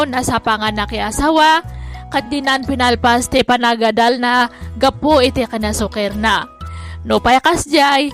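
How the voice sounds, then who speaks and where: A woman's voice: a little high, a bit bright. A woman presenting and narrating a scripted Ilocano radio drama.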